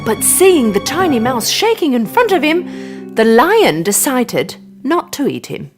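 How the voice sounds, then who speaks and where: Female children's storyteller; higher voice, very expressive swings, music underneath. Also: unhurried. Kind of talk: animated scripted children's narration.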